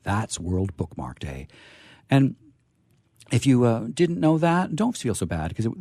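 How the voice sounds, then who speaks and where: A man's voice: mid-range voice, sing-song, unhurried, dark tone, dry recording, studio quality. A man hosting a live radio theatre show in a casual, rambling, jokey, folksy way. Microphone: studio microphone.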